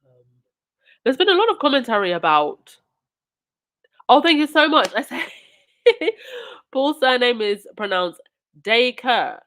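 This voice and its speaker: A woman's voice: on the high side, very animated, slow pace, long pauses, fairly bright tone. A woman talks on her live stream while fighting a cold, her nose stuffy. She reads comments and thanks supporters, then turns indignant. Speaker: livestream host.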